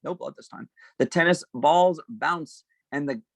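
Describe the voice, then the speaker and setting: Casting: male narrator for a script table read, average pitch, close dry sound. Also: a little slow, a bit bright, no room sound. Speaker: stage directions reader.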